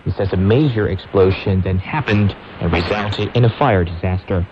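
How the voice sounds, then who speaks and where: A man's voice: low voice, moderate pace. A man reading scripted radio news as an anchor, heard over shortwave radio. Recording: noisy, dull shortwave radio reception.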